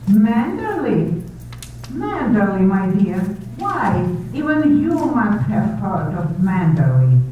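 A woman playing a bossy, gushing older society lady in 1930s radio drama style. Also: a little high. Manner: gushing, imperious, theatrical. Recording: echoey hall from far off, muffled, hiss.

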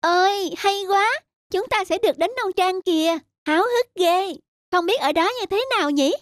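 Expressive, high-pitched little schoolgirl. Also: moderate pace, no echo, clean studio sound. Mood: excited. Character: earnest young schoolgirl, expressive and a little mischievous. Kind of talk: cartoon voice acting. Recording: music behind the voice.

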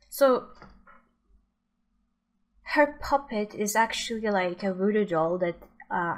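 Slow-paced young woman reacting. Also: mid-range voice. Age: young adult. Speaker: gaming reaction video creator. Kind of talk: casual reaction commentary.

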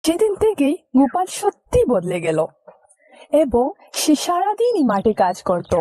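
A woman's voice: sits high, wildly swooping pitch, a little slow, dark tone, dry recording, low-quality recording. A woman narrating a scripted moral story for children as a storyteller.

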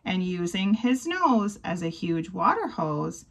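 A woman in her twenties reading a children's picture book aloud with expressive, deliberate storytelling. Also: on the low side, vocal fry. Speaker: volunteer storybook reader. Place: small bedroom, indoors.

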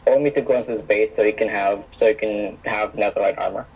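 Young male gamer, halting, husky, lo-fi headset sound. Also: lowish voice, fairly even intonation, recorded from a distance. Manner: hesitant, many ums. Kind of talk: casual gaming chat with friends.

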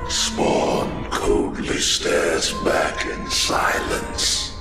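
A man narrating a comic book in a dramatic, ominous, deliberate style. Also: sits low, huge pitch swings, vocal fry. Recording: echoing voice under loud dramatic music.

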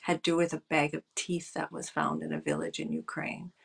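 Measured woman guest on a video call. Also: low-pitched, very animated, vocal fry, husky. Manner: thoughtful, restarts phrases, hesitant fillers. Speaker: political scientist guest. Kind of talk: casual video call interview. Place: home room with bookshelves. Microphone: video call webcam.

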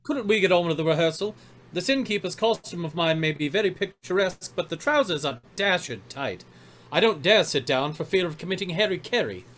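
Man playing fussy, stuffy gentleman doctor. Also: high-pitched, lively intonation, fairly quick. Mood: fussy, indignant. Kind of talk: old-time radio drama acting. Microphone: video call.

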